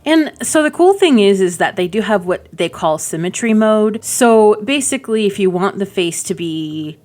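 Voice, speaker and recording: A woman's voice: mid-range voice, lively intonation, normal speed, darkish tone. A woman giving casual, chatty gameplay commentary as a video host, rambling informally with frequent asides. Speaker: gaming video creator and host.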